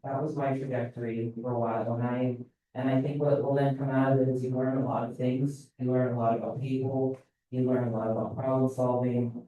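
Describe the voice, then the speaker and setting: A man speaking on a panel in a relaxed, reflective way with light fillers. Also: mid-range voice, a little fast, highs cut off. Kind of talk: panel discussion. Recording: echoey hall, mic at a distance.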